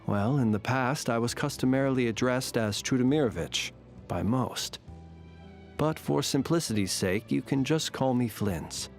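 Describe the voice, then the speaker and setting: A man voicing a courteous, centuries-old fae night watchman in a video game, speaking formally and eloquently in a measured, quietly teasing way. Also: medium pitch, natural voice. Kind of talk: scripted video game character voice lines. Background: background music.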